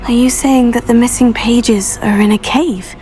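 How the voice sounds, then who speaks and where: A woman's voice: a little high, fairly even intonation, normal speed, dark tone, soft background music, lightly acted. A woman playing an earnest, curious teenage student witch in a video game, polite and composed. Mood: earnest, questioning. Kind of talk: video game character acting.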